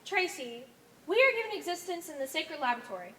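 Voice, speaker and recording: A woman's voice: extremely high pitch, sing-song, fast talker, fairly bright tone, heavily acted. A woman on stage playing a solemn member of a strange blue cult, earnest and matter-of-fact. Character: solemn blue cult member from a laboratory-made cell society. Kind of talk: amateur one-act stage play acting. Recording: echoey stage, noisy room ambience.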